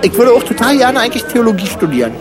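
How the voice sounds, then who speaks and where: Radio comedy: male pub philosopher played by a man, high-pitched, halting and opinionated, music in the background. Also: sing-song. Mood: musing. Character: rambling, opinionated atheist drinker drawn to Catholicism. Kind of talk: comic radio play, rambling colloquial dialogue. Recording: music behind the voice.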